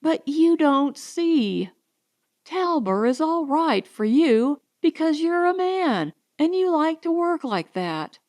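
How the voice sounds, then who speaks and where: Resentful colonist wife for an audiobook, played by a woman: high voice, taunting, defiant, unhurried. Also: big pitch swings, darkish tone, full character voice. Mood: bitter, resentful. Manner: taunting, challenging. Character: sharp-tongued, resentful, strong young colonist wife.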